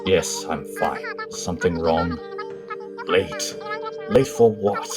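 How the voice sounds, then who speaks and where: Man playing a cold, low-voiced mad scientist. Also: expressive, over music. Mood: tired, evasive. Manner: slow, measured, formal. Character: cold, grim, obsessive male royal scientist, weary and menacing. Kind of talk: dramatic comic dub monologue.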